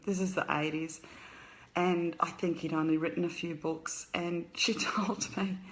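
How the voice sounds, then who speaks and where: A woman's voice: on the low side, fairly bright tone, poor audio. Chatty Australian woman in her fifties. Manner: chatty, rambling, greets viewers and laughs often. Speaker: author hosting a live stream. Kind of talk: casual live stream chat. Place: home at night.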